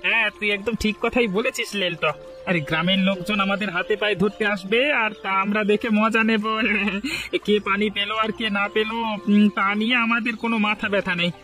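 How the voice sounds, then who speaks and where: Man acting a cartoon village villain, fast-talking, jeering, selfish, bright high voice. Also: fairly even intonation, faint music behind. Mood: gloating. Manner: jeering, gloating. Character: mocking, selfish village bully hoarding water. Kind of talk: Bengali cartoon story dialogue.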